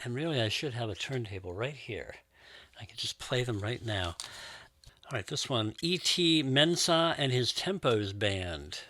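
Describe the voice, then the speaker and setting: A man's voice: medium pitch, lively intonation, unhurried, music in the background. A man chatting casually and enthusiastically as he unboxes old records and muses on each one. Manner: warm, musing, enthusiastic about records. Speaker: record collector and show host.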